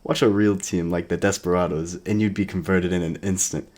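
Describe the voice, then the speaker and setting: A man's voice: deep voice, steady tone, fairly quick, darkish tone. A man playing a brash, hard-partying mercenary in a sci-fi audio drama, speaking casually. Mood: brash, relaxed. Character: hard-partying, sports-mad mercenary guy.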